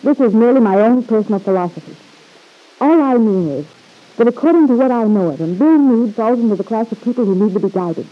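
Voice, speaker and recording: A woman's voice: on the high side, animated, quick pace. An actress playing a proud, hard-edged young married woman in an old-time radio drama, with cool, sardonic retorts. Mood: hard, dismissive. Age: young adult. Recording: dull, lo-fi vintage radio recording.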